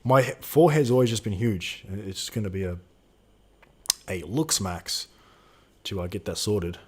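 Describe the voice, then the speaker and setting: A man's voice: lowish voice, animated, normal speed, a little mellow, slightly rough. A man in his twenties co-hosting a live Q&A stream, chatting casually and reading out viewer questions. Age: 20s. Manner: casual slangy, swears, reads out chat questions.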